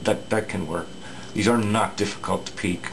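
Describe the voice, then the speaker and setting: A low, gravelly male voice explains an old radio repair in an unscripted, halting way, stopping often for fillers and restarts. A faint hiss of background noise sits under the recording. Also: steady tone. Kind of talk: casual workbench repair talk.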